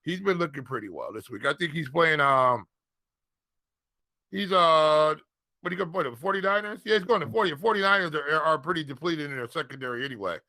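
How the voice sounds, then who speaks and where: A man's voice: a little high, animated, brisk, pushed and bright, dry recording. A man co-hosting a sports show in casual chat, informal and colloquial, speaking straight to the listeners. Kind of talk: casual fantasy football chat.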